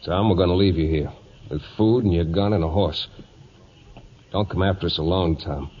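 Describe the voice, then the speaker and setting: An actor playing a steady cowboy second-in-command in a 1940s radio western, earnest, decisive and plainspoken. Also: male voice, deep voice, normal speed, close to an everyday voice. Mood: resolute. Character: steady, firm cowboy second-in-command defying his boss. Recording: dull, faintly noisy old radio broadcast.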